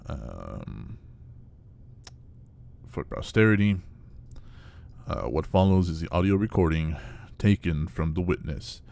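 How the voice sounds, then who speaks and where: An actor playing an official investigator who reads out an incident report in a formal, hesitant way for an audio horror drama. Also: male voice, very deep voice, animated, slow pace, creaky, long pauses, a little mellow, heavily acted. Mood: grave, official.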